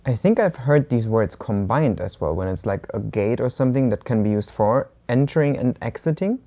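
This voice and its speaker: Woman, slight german accent, super low dark voice, calm translating. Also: moderate pace. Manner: thoughtful, translates back calmly. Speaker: co-host learner. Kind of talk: casual lesson dialogue.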